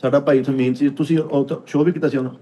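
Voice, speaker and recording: A man's voice: mid-range voice, fairly even intonation, very fast, mellow, dull audio. A man with a Pakistani Punjabi accent telling funny stories in casual chat as a panellist on a comedy show. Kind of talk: animated comic storytelling in casual chat.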